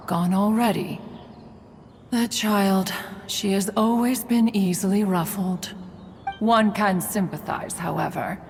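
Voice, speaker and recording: Woman doing a super formal old crane adeptus, game voice, slow, roomy reverb + music. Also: mid-range voice, slight background noise, natural voice. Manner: archaic formal speech, speaks of herself as "one". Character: dignified ancient female crane adeptus, formal inventor. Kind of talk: game voice acting.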